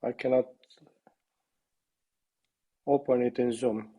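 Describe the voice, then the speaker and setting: A slightly hoarse man speaking on a video call as a company co-founder, opening a webinar presentation in a halting, modest way. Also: mid-range voice, fairly even intonation, dark tone. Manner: halting, self-deprecating.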